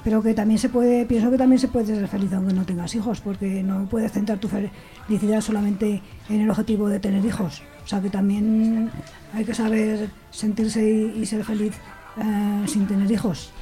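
A woman's voice: medium pitch, level tone, moderate pace, warm tone. A woman from carefully offering an informal personal opinion as part of a group discussion. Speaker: discussion participant. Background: faint music.

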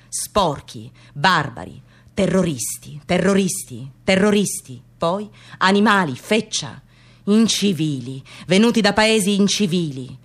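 An actress voicing a hateful, indignant xenophobic mob in radio theatre, hammering out repetitive insults. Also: female voice, lowish voice.

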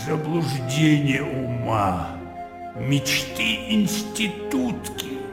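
An actor playing a gruff, talkative old retired colonel in a radio drama, with a booming gravelly voice and a blustery, rambling manner. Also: male voice, animated, extremely slow, exaggerated voice. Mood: reminiscing, scoffing. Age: older. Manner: blustery, rambling, punctuated with interjections. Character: gruff, garrulous old retired army colonel, sardonic father. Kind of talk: theatrical radio drama acting. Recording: echoey hall with long reverb, music behind.

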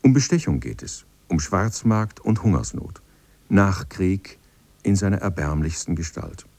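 Deep, mellow male documentary narrator. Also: lively intonation. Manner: measured, literary, precise. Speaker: narrator of a radio feature. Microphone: close studio microphone.

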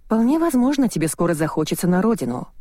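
A reader voicing a cynical, condescending male hospital lawyer in an audiobook, brisk and bossy. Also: mid-range voice, big pitch swings, dark tone, dry recording, studio quality, lightly acted. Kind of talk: audiobook dialogue reading.

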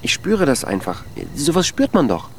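A man's voice: on the high side, huge pitch swings, moderate pace, a little hiss or hum. An actor playing a needy, insecure, lovelorn man who dithers and pleads in a naturalistic radio drama. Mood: hurt, hopeful.

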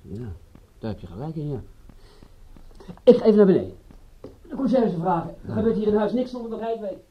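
An actor playing a detective's apologetic, concerned friend in a radio drama, earnest and slightly flustered. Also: male voice, high voice, very animated, fairly quick, dull audio. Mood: helpful. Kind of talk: radio drama acting in Dutch. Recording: mildly noisy radio play recording.

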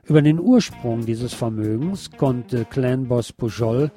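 Male news presenter, soft mellow tone, wry deliberate commentary read from script, faint guitar music underneath. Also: medium pitch.

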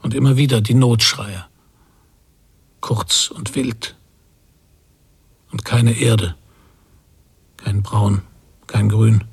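A brooding emigrant father in a literary radio play. His voice is very husky with a gravelly creak, musing in short, verbless fragments with a melancholy temper. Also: medium pitch, darkish tone, heavily acted. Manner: slow-building, brooding reflection in verbless fragments. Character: melancholy post-war emigrant father on a ship's deck. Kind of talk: literary radio drama monologue.

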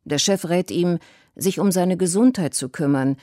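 A woman with a low, dark voice reads a radio documentary script. Her delivery is calm and even, each sentence measured out. The recording is dry and close. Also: no room sound. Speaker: documentary narrator.

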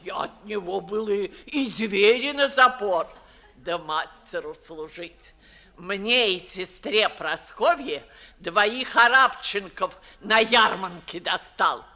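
A woman's voice: medium pitch, animated, pushed and bright, heavily acted. A woman playing an imperious, grumbling old noblewoman in a theatrical 1950s Russian stage comedy style. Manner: theatrical, declamatory, drawn-out grumbling. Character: imperious grumbling 65-year-old Moscow noblewoman, blunt old aunt.

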